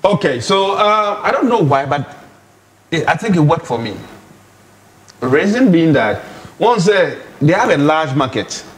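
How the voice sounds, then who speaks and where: A man's voice: on the high side, measured pace, pauses often. A man from Ghana, a comedian and MC, chatting casually in an interview a loose, rambling way of telling stories. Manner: relaxed, rambling storyteller with frequent restarts. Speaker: comedian and MC guest.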